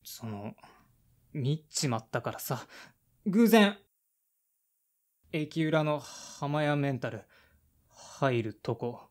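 A man's voice: on the high side, animated, drawn-out words, long pauses. A man playing a blunt, awkward man of thirty-five in an audio drama, in casual dialogue and brooding narration. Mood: defensive. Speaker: lead character and narrator.

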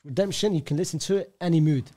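A man's voice: a little high, fast, dark tone. A young man guesting on a casual podcast, playful and emphatic, speaking into a studio mic. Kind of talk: casual chat. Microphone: studio mic on a boom arm.

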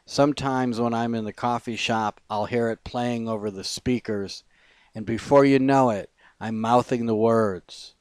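A man reading poetry as a poet, in measured, deliberate phrases with pauses. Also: mid-range voice, steady tone, no echo.

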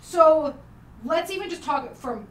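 A woman's voice: high-pitched, lively intonation, a little slow, echoey room, recorded from a distance, slight background noise. A woman in her thirties from Philadelphia, co-hosting a therapy podcast, blunt and casually swearing. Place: podcast studio. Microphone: studio mic with headphones.

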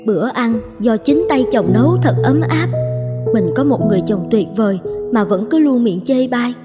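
High-voiced young wife, heavy reverb, acted by a woman. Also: animated, normal speed, natural voice. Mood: wistful. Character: worried, reflective young wife in animated drama. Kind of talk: animated drama dubbing. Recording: long reverb, loud music over voice. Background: loud music.

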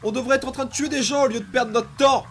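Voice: sits high, steady tone, fast talker, lightly acted. An actor voicing a vicious, obsessive young demon boy in a dramatic comic dub, taunting and theatrical. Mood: furious, unhinged. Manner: menacing, taunting, theatrical outbursts and mutters.

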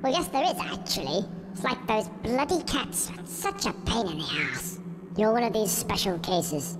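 Brief: tiny British Grim Reaper, high, very animated, irritable game character, noisy audio. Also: male voice, normal speed. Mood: grumpy, irritable. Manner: irritable, sardonic grumbling with British swearing. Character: short, grumpy, put-upon Grim Reaper. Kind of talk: video game cutscene dialogue.

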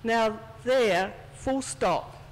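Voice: medium pitch, extremely slow. A speaker giving a children's talk in church, chatty and conversational as they tell a story. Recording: distant, reverberant church hall sound.

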